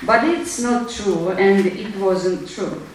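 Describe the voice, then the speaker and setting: Ukrainian woman, russian native, halting talk, super echoey hall, faint hiss. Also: medium pitch, darkish tone. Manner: halting, many fillers. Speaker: activist speaker. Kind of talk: public talk. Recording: roomy hall sound from far off.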